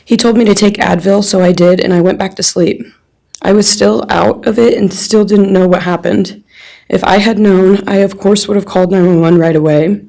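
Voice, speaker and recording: Creaky-voiced young woman reading aloud. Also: mid-range voice, fairly even intonation, normal speed, warm tone. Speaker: friend reading a victim's statement on their behalf. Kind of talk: reading a written personal statement aloud. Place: courtroom.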